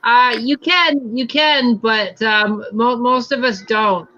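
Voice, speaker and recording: An American man chatting casually on a livestream, making dry, low-key remarks. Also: slow pace, bright, forceful tone, dull audio. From United States.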